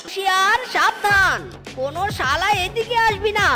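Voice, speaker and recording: A woman's voice: very high-pitched, expressive, moderate pace, bright, forceful tone, heavily acted. A woman voicing a bumbling, boastful blind young man in a cartoon, bragging and swearing. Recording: cartoon music behind.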